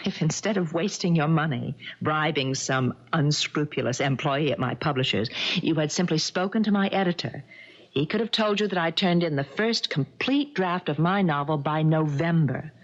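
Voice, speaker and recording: Husky, haughty lady novelist, lively delivery. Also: deep voice, normal speed. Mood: indignant. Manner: poised, indignant, condescending. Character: haughty, well-off married woman, successful novelist. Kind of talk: radio mystery drama acting. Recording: dull lo-fi old radio broadcast.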